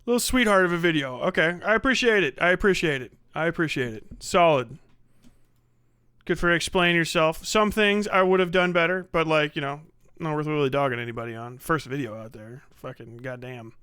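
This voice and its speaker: Male streamer, higher voice, profane and excitable, casual reaction chat, jumps from loud to muttering. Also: fairly quick, clear, resonant tone, no room sound, studio quality. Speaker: livestream host.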